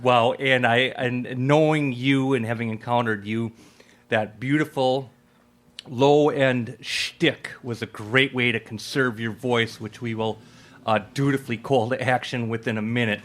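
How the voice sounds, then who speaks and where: A man's voice: medium pitch, steady tone, a little slow, a bit bright. A man hosting a live radio play event in a casual, effusive, rambling way. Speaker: host and playwright.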